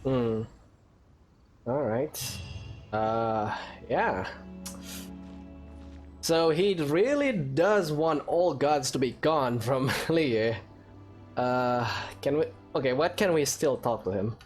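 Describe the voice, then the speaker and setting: A man's voice: medium pitch, expressive, slow, pauses often, soft background music. A man giving casual playthrough commentary and review, informal and hesitant, often rephrasing as he goes. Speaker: gaming video creator.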